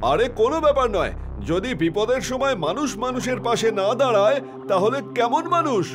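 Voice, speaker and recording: Man as scheming eatery owner, high-pitched, ingratiating patter, animated horror drama, background music. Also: a little fast. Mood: coaxing. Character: wheedling, scheming male roadside eatery owner.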